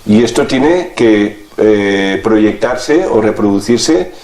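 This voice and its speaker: A man calmly guiding a healing session, explaining each step as he works. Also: on the low side, takes it slowly. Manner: calm, instructive, explains step by step. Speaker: alternative therapist. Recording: echoey room with faint noise.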